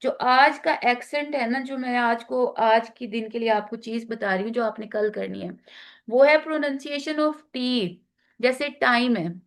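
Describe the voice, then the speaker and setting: A woman with a Pakistani accent teaching an online class, talking slowly with many fillers and switching into English phrases. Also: fairly high pitch. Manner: slow, halting, many fillers, mixing in English.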